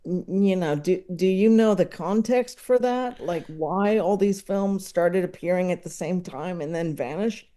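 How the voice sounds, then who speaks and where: A man's voice: high-pitched, measured pace, hardly any pauses, warm tone. A man speaking as a podcast guest, chatting casually and analytically about what he loves, often hesitating as he thinks aloud. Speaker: podcast guest, comedy enthusiast. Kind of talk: casual opinionated film chat.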